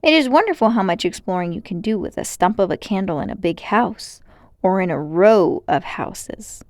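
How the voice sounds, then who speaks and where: Expressive woman storybook narrator, animated intonation. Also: mid-range voice, moderate pace, dry recording, clean studio sound. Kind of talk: expressive read-aloud of children's fiction.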